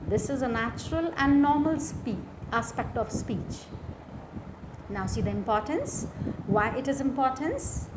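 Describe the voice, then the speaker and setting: A woman with an Indian accent teaching a lesson from slides in a deliberate way, repeating key phrases. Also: a little high, lively intonation, noisy background. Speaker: teacher, lecturer. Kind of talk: instructional lesson explaining slides.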